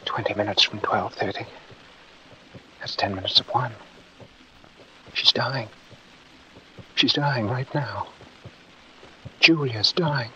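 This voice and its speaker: An actor playing a village doctor in a 1940s radio drama, outwardly genial but secretly guilty and domineering, with a clipped, theatrical delivery. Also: male voice, mid-range voice, slow, long pauses, sharp tone, slight background noise. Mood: tense dread. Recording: muffled old radio recording with music.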